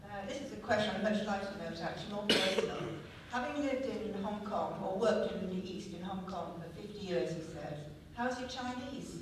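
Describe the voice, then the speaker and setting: A woman's voice: mid-range voice, animated, brisk. Woman reading out questions, polite and light, really echoey, lots of noise. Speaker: question moderator. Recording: echoey hall from far off, loud background noise.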